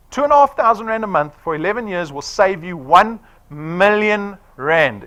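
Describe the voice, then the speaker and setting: A man in his forties giving a persuasive sales presentation to a live audience, often checking in with "right?". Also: a little high. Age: 40s. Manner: animated, persuasive, quizzing the room with "right?".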